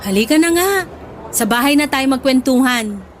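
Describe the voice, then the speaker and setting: Woman acting a fondly exasperated Filipina mother, higher voice. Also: animated, normal speed, a little hiss or hum. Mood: cautious, explaining. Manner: exasperated but affectionate, motherly. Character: Filipina mother, fond, teasing, scolding, uneasy about home. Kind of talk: Tagalog radio drama acting.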